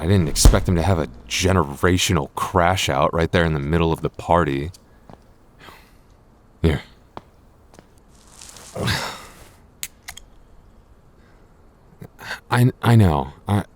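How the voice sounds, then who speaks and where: A man's voice: deep voice, darkish tone. A man playing a jealous, apologetic boyfriend in an audio roleplay, speaking earnestly with hesitant stammers. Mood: apologetic, pleading. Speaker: voice actor.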